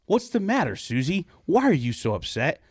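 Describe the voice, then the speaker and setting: A man's voice: a little high, big pitch swings, normal speed, slightly rough, no room sound, voice pushed up. Guy reading as a kind gallant engineer from a joke storybook, reassuring, dry close audio. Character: kindly, reassuring male engineer from a comic storybook.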